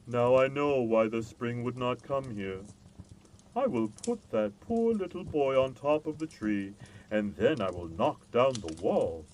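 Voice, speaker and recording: Man acting a loud, emphatic storybook giant. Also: medium pitch, expressive, a little slow, slight background noise, lightly acted, low-quality recording. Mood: remorseful, resolved. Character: selfish giant, male, gruff, softening into remorse.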